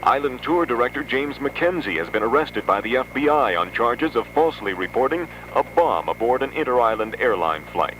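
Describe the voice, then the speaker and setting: A man reading a scripted 1970s radio news bulletin as anchor, in a formal, measured announcer delivery. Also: mid-range voice. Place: radio studio. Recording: noisy old 1970s radio aircheck.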